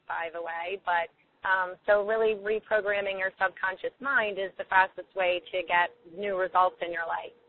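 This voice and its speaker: A hoarse woman hosting a call-in coaching show, chatty and open, with a lot of "like" and "you know". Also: medium pitch. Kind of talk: casual hosting on a phone and webcast call. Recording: tinny phone line.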